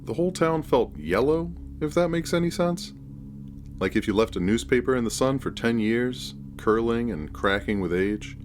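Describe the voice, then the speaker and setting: A man narrating a scripted first-person horror story in a measured, deliberate storytelling manner. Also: medium pitch, animated, soft background music.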